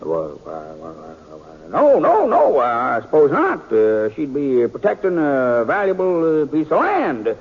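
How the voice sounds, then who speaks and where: An actor playing a flustered, fussy frontier judge, husky and bumbling, in old-time radio western style. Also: male voice, on the high side, wildly swooping pitch, unhurried, heavily acted. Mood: bewildered. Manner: bumbling, sputtering, throat-clearing. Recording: dull old radio recording.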